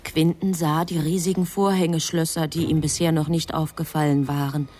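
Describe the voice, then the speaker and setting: A woman acting as the composed storyteller of a radio play, measured and deliberate in her narration. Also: low voice, level tone, mellow. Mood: measured, suspenseful. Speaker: narrator, also playing an angel.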